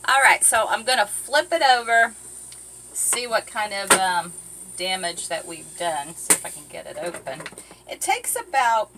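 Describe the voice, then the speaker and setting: A woman's voice: medium pitch, lively intonation, unhurried, noisy background. A middle-aged woman chatting casually while she cooks in a small boat cabin, rambling with lots of ums and uhs, filmed by a camera a few feet away. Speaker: vlogger cooking on a boat. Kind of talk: casual how-to chat. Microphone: fixed camera a few feet away.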